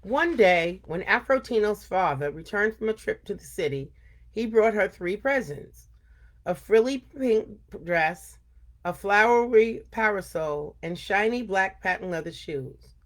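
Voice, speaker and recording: An older woman hosting a storytime, speaking earnestly and deliberately, chatting informally and reading aloud for children. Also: mid-range voice.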